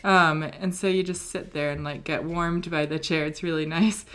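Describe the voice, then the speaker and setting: A woman's voice: low voice, fairly even intonation, normal speed, fairly bright tone. A young British woman co-hosting a podcast, telling a story in a casual, rambling way with many ums and likes. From Britain. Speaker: podcast co-host. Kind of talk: casual chat.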